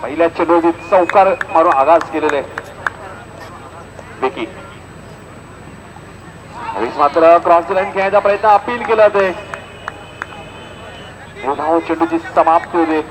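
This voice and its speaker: A Maharashtrian man with a fairly high-pitched voice delivers live cricket commentary. The recording is from an outdoor ground, and there is clear noise behind his voice. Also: normal speed, long pauses.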